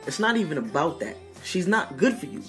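Defensive, controlling husband acted by a man, swinging pitch. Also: sing-song, fairly quick, fairly bright tone, soft background music. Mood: annoyed, demanding. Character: Austin, defensive controlling husband, short-tempered and dismissive. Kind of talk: audio drama acting.

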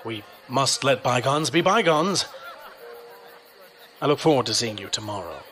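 An actor playing a menacing, smooth-talking crime boss in an audio drama, with an oily, condescending manner. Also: male voice, medium pitch, animated, normal speed, bright, forceful tone. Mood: placating.

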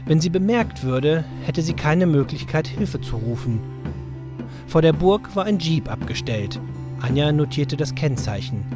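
A man's voice: fairly high pitch, expressive, measured pace. A man narrating a scripted story for children as its storyteller. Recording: music under the voice. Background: music.

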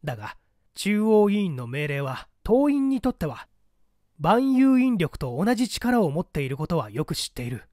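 Man acting a defiant high-pitched party operative. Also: big pitch swings, normal speed, a little mellow, no room sound, clean studio sound. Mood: resolute. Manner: argumentative, formal, rhetorical. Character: defiant, questioning male party operative, cool and sardonic. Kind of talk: dramatic audiobook character dialogue.

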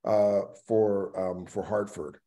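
A middle-aged man speaking casually in a panel conversation, halting and reflective, trailing off between phrases. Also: lowish voice, fairly even intonation, mellow. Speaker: actor and theatre artistic director. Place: indoor room at home, video call. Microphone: video call microphone.

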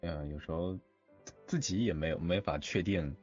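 A man with a low voice talks casually and haltingly in short pieces. The recording is dull and lo-fi, like a voice chat, with music behind. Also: a little mellow. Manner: hesitant, halting. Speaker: voice actor in an audio drama.

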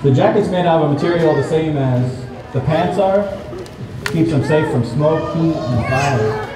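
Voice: mid-range voice, measured pace, over music. Echoey, distant fire safety show presenter. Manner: instructional, asks the audience simple questions. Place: large indoor mall, heard from far off. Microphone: far camera. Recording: distant echoey mall hall, noisy crowd.

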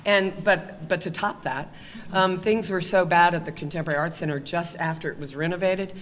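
An older woman on a panel tells a story at a halting pace, full of ums. Her voice carries a lingering reverb from the meeting room, and there is faint background noise. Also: lowish voice, steady tone. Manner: halting, with many fillers and laughs. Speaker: panelist, arts administrator. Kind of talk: casual anecdote on a panel. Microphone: table microphone.

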